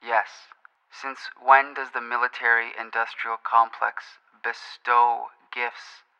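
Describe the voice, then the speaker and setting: A man acting as a solemn conspiracy theorist calling a radio show, with a very sharp, resonant, evenly pitched voice. He speaks in formal, precise phrases in a radio play, and the recording is dulled with a long reverb. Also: little pitch movement, slow, creaky, clean tone, lo-fi sound, full character voice. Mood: grave, insistent. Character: earnest, pedantic male conspiracy-theorist radio caller.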